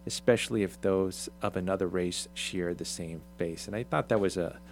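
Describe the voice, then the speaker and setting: A man with a somewhat low voice hosts a casual talk show and keeps pausing on ums and uhs. A faint hum sits under his speech. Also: creaky. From Irish, Swedish ancestry mentioned. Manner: hesitant, many ums and restarts. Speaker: radio show host.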